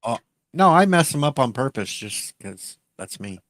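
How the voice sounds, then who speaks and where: Deadpan joking man, dry close sound. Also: medium pitch, moderate pace, no echo. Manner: deadpan joker. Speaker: show host. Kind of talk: casual chat.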